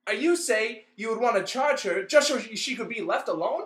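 Man playing earnest, bright-voiced radio comedy brother. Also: extremely high pitch, animated, a little fast. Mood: stage banter. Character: eager young comedy-duo brother, protective, expectant father. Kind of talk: old-time radio comedy acting. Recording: roomy, echoing recording.

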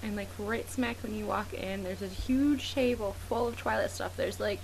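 A young woman co-hosting a podcast, telling a story in relaxed casual chat. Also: mid-range voice, moderate pace, gravelly, rough recording. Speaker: podcast co-host. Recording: faint background noise.

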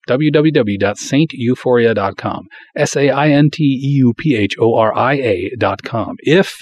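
A man's voice: on the low side, slightly rough, no echo. A man hosting a podcast, chatting to listeners and promoting projects in a direct, informal style. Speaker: podcast host.

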